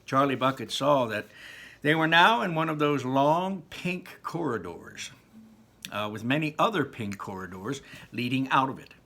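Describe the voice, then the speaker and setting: A man's voice: mid-range voice, lively intonation, moderate pace, sharp tone. A man reading a children's book aloud, telling the story expressively with small slips now and then. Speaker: reader.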